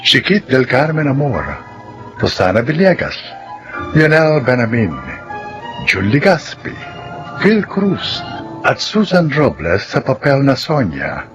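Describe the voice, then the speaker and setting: A man's voice: medium pitch, sing-song. Casting: male Filipino radio drama announcer, solemn, very varied intonation, dull broadcast sound over music. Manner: solemn, theatrical, deliberate. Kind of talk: dramatic scripted narration and credits.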